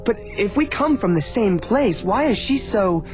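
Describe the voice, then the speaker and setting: A man's voice: high-pitched, animated, unhurried, music in the background. An actor playing an earnest, brave young male dragon in a video game cutscene. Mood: troubled, questioning.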